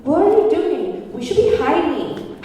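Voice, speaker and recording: A woman's voice: sits high, very animated, unhurried, mellow. A woman acting an anxious, reluctant housewife in a script table read, worried and pleading. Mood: uneasy, reluctant. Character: anxious, fearful young housewife on the run, reluctant and protective. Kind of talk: acted table read of a screenplay. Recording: very echoey hall, distant mic, faint noise.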